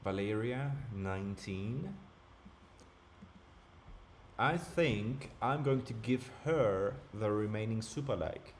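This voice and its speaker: A man's voice: on the low side, expressive, takes it slowly, long pauses, a little hiss or hum. A young man from Germany with a German accent tinged by British and American sounds, chatting casually as he thinks aloud during a live stream. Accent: German with British and American traces.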